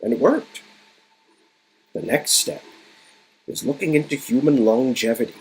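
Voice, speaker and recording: A man's voice: mid-range voice, expressive, a little slow, breathy edge, low-quality recording. A man playing a grandiose, smug, absent-minded male inventor villain with a theatrical, stammering delivery in a dramatic reading of game dialogue. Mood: proud. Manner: theatrical, stammering, self-important.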